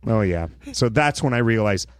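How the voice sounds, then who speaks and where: A man with a low voice, co-hosting a podcast and rambling through his stories. He often restarts his sentences partway through. His voice sounds dry and close. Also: lively intonation, normal speed, no echo. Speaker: podcast co-host. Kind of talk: casual chat.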